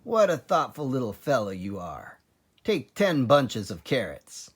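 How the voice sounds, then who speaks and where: A man's voice: medium pitch, huge pitch swings, a little slow, husky, exaggerated voice. A man voicing a cunning, bossy, food-loving father fox in a children's storybook, with a relishing, exclamatory manner. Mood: brisk, fond.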